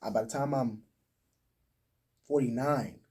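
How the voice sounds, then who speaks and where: A man's voice: mid-range voice, fairly even intonation, unhurried, a little mellow, slight background noise. An American man in his twenties talking casually and emphatically in slang on a podcast, picked up slightly from a distance. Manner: talkative, emphatic, slang-heavy.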